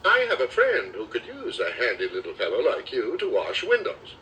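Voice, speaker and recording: A man's voice: medium pitch, wildly swooping pitch, normal speed, far from the mic, noisy background. A man reading the part of a kind, encouraging cook in a children's storybook. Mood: friendly, encouraging. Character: kind restaurant cook, friendly and helpful man.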